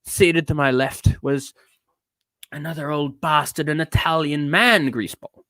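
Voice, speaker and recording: A man's voice: fairly high pitch, fairly even intonation, measured pace, sharp tone, dry recording. A man voicing a bitter, neurotic ranter in a theatrical dramatic reading, with a put-on accent that shifts around. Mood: scornful griping. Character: edgy, bitter, ranting neurotic man telling a travelogue. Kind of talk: dramatic reading of a rambling rant.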